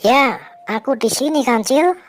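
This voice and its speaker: A woman voicing a small, clever snail in a children's storybook tale, speaking calmly and with quiet confidence. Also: high-pitched, measured pace, voice pushed up. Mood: bright.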